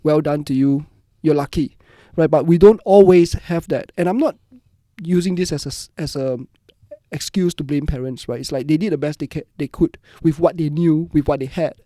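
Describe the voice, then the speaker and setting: A man's voice: fairly high pitch, moderate pace, warm tone, dry recording, studio quality. A man with a Singaporean accent hosting a podcast in a reflective, conversational interview style. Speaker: podcast host.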